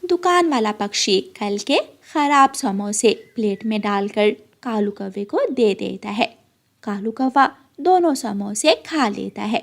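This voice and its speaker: A woman with a very high voice narrates a children's story. She reads the script at a slow pace, as a storyteller.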